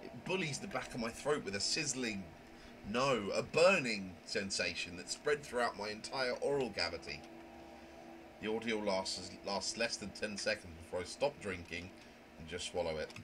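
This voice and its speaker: A man acts out a prim young visual novel character who narrates in fussy, theatrical phrases. The voice sits fairly low and sounds indignant and proper. The recording carries noticeable noise. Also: normal speed, natural voice. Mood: disgusted. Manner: wordy, fussy, theatrical diction. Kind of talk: dramatic reading of a visual novel. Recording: noisy home microphone recording.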